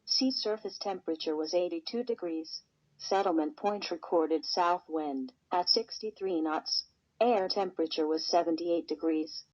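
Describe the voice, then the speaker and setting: Low synthetic female weather announcer. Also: normal speed. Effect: synthetic text-to-speech voice on weather radio. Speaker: automated weather radio announcer. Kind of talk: scripted weather observation reading. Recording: dull lo-fi weather radio recording.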